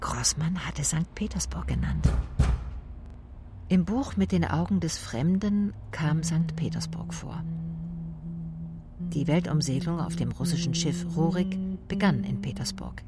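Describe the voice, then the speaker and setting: An actress playing an observant female private detective, narrating her case in the first person for a radio drama. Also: low-pitched. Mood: reflective. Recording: clearly noisy ambience behind voice.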